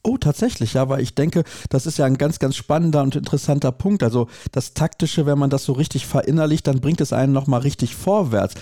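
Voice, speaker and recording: Mellow-voiced male podcast host. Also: medium pitch, fast, hardly any pauses, dry recording, studio quality. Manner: conversational, repeats words for emphasis ("sehr, sehr"). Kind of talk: interview questions and commentary.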